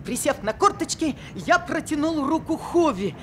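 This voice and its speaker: An actor in a Soviet radio drama playing an earnest teenage boy who narrates his own story in a measured, storytelling manner. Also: very high voice, expressive, slight background noise.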